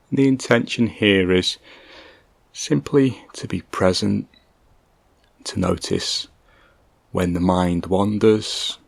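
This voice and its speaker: A man leading a guided meditation in a gentle, calm, measured way, leaving long pauses between short instructions. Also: on the low side, creaky, no echo.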